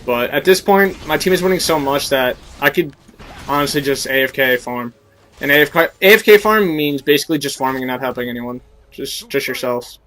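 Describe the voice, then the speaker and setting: A man's voice: medium pitch, expressive, brisk, pushed and bright. A young man with a stuffy nose and sore throat from a cold, casually commentating his own game and coaching viewers with plenty of fillers. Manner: explanatory, coaching tone with many fillers. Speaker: gameplay commentator.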